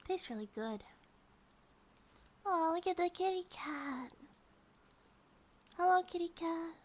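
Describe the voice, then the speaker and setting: A woman's voice: high-pitched, darkish tone. A woman voicing an affectionate, childlike and possessive grizzly bear monster-girl wife in an intimate ASMR roleplay, in a cutesy, rambling manner that sometimes drops toward a whisper. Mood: delighted, cutesy.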